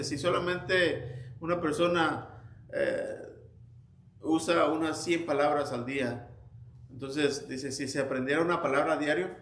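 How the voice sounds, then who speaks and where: A man's voice: on the high side, fairly bright tone, slight background noise. A man teaching a church Sunday school class, telling anecdotes conversationally with frequent restarts. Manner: storytelling, conversational, with many restarts and quoted anecdotes. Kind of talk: informal Bible class teaching. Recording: echoey church room, faint ambience.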